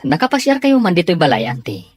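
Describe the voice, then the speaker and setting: A man's voice: fairly high pitch, wildly swooping pitch, fast, slightly rough, no echo, heavily acted. A Filipino man playing a respectful young nephew in a radio drama, polite and reluctant in manner. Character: hesitant, respectful young nephew guarding a secret. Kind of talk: Ilocano radio drama acting.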